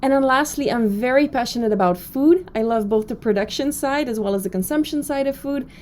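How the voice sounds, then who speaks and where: A woman's voice: high voice, a little fast, a little mellow. Upbeat Belgian woman professor. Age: 30s. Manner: upbeat and chatty, with frequent fillers. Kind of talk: recorded online course introduction. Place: her office.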